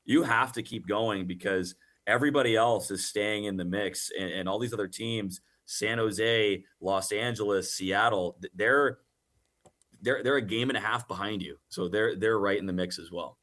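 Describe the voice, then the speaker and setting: A man giving an animated, emphatic casual sports radio interview as a guest hockey announcer. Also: lowish voice, moderate pace.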